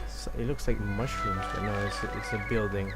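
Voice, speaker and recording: A young man from Malaysia giving casual live gameplay commentary, thinking aloud in a halting way. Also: on the low side, steady tone. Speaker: game streamer. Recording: game music behind the voice. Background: game music.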